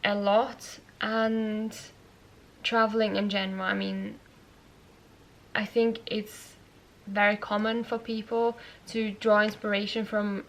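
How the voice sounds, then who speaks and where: Slow-talking woman with Yorkshire-tinged accent. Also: mid-range voice, vocal fry, slight background noise. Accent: Yorkshire-tinged English picked up as an Austrian speaker. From Austria. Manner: slow, thoughtful, with fillers and soft laughs. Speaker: knitting vlogger. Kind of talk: casual Q&A vlog.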